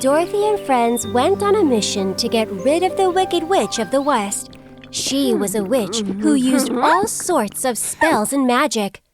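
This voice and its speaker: A woman narrating a scripted children's fairy tale in an expressive storybook manner. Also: high-pitched, measured pace, over music. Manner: expressive, clearly paced storybook delivery.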